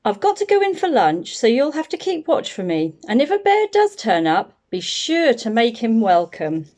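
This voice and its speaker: Storybook little girl, voiced by a woman: earnest, chatty and hopeful, highish voice with very lively intonation. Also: normal speed. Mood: bossy, caring. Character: eager, earnest little girl who loves bears. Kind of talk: children's picture book read-aloud.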